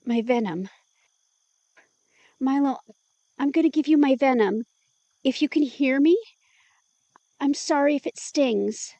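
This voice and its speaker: A woman's voice: high voice, measured pace, long pauses, dark tone, no echo, highs cut off. A woman playing an anxious newly turned vampire. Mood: earnest. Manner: anxious, exclamatory. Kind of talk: audio drama acting.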